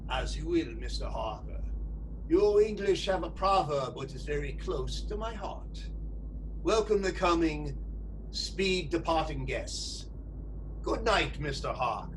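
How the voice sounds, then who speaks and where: A man's voice: a little high, lively intonation, a little slow. An actor playing a courteous, sinister vampire nobleman in a radio play, speaking in a formal, menacing, mock-polite manner. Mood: smooth menace. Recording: distant voice with loud background noise.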